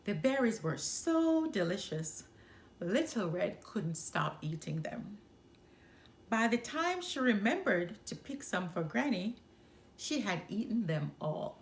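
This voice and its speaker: Slow, very expressive woman storyteller. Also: medium pitch. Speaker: storyteller and channel host. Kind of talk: expressive children's story narration.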